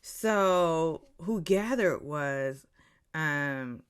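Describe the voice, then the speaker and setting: Animated gossipy woman in her forties. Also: sits low, extremely slow, clean tone. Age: 40s. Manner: animated, catty, drops into whispers for asides. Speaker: reality TV recap vlogger. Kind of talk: gossipy episode recap.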